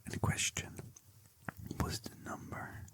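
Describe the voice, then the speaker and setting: An ASMR creator whispering slow, gently encouraging instructions into a close binaural mic. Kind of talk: whispered ASMR focus test.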